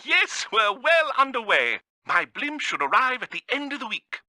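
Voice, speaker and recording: Shrill pompous British bird villain. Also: male voice, sing-song, moderate pace, sharp tone, no room sound, studio quality, heavily acted. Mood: haughty, cheery. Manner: pompous, theatrical, florid. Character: pompous, fussy villainous male bird genius.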